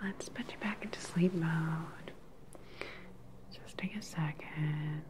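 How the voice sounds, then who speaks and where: Casting: woman to play evil sci-fi lab scientist, low, unhurried breathy whisper, gentle and clinical, ASMR roleplay. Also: noisy background. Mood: soothing, clinical. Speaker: ASMR performer. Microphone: close microphone. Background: sci-fi lab ambience.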